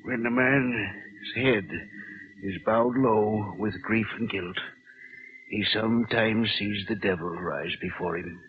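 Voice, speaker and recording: Haunted old railroad man, solemn and real slow, muffled hissy old radio sound. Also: lowish voice. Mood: morbid brooding. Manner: halting, solemn, fearful. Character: morbid, guilt-haunted old railroad station master. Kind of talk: 1940s radio horror acting.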